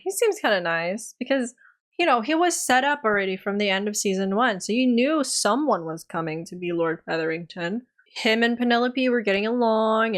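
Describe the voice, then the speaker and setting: A young woman giving an animated, exasperated review of a TV series in a casual vlog, her voice tiring. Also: medium pitch. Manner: animated, exasperated, dramatic outbursts. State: voice getting tired.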